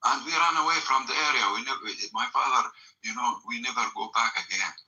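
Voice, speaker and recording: Emphatic man, bright tense voice. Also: mid-range voice, lively intonation, a little fast, lo-fi sound. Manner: broken English with many fillers, emphatic. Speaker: storyteller recounting family memory. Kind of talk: emotional personal storytelling.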